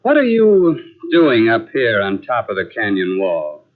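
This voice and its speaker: A man's voice: lowish voice, wildly swooping pitch, measured pace. An actor playing a dry-witted young man turned ghost hunter, in 1940s radio acting style. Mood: wry. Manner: dry, wry. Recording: tinny old radio transcription.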